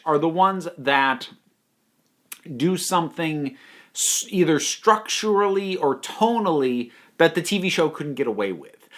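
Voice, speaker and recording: Male reviewer, voice pitched a bit above average, emphatic conversational delivery of personal opinion. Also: a bit bright. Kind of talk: opinionated audio drama review to camera, conversational.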